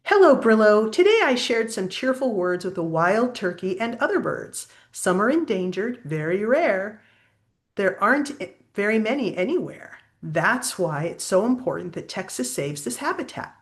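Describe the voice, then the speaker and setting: A woman's voice: mid-range voice, very animated, moderate pace, close to an everyday voice. Woman acting a male armadillo traveler, curious, earnest, wondering, swooping storybook delivery. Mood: chatty.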